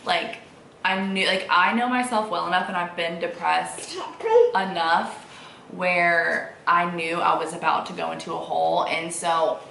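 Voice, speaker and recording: A woman's voice: fairly low pitch, little pitch movement, a little slow, gravelly, bright, forceful tone. A young woman vlogging at home in a casual, rambling, informal way, with a slightly congested voice. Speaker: vlogger and mother of a young child. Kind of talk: casual chat to camera. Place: indoors at home. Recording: roomy echo, distant mic, faint noise.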